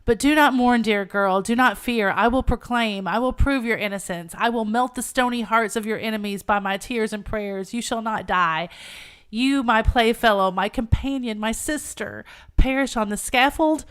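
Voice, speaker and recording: A woman voicing an anguished, devoted young gentlewoman in a melodramatic, declamatory novel reading. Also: a little high, moderate pace, clean tone, close to an everyday voice. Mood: impassioned, pleading. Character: anguished devoted young gentlewoman pleading for her condemned friend.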